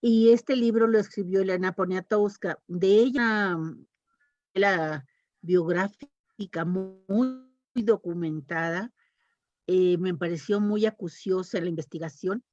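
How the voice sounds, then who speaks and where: A woman's voice: mid-range voice, a little slow, darkish tone, no room sound, muffled recording. A woman chatting casually as a panelist in a round-table talk. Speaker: panelist talking about reading habits.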